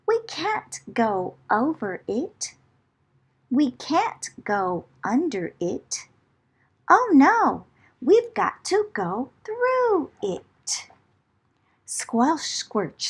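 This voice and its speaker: Woman, children's read-aloud, high voice, very slow pacing, theatrical, rhythmic delivery. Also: husky. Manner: dramatic, theatrical, with whispers and exclamations; deliberate rhythmic chanting of repeated phrases. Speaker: children's storyteller. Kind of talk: expressive picture-book read-aloud for children.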